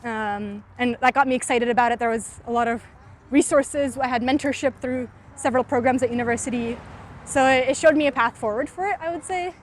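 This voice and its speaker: A woman's voice: a little high, fairly even intonation, brisk, a little hiss or hum. A woman in her twenties from California, talking casually in an interview about her startup, with many filler words. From California, USA. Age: 20s. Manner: frequent "like" and "um" fillers, thoughtful. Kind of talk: casual interview while walking. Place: outdoors on a city street.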